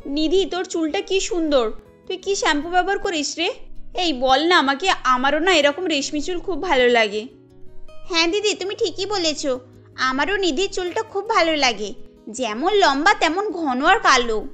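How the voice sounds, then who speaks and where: Playful young village wife acted by a woman, chatty, high-pitched cartoon, music bed. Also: animated, normal speed, fairly bright tone. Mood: admiring. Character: chatty, playful young village sisters-in-law admiring hair.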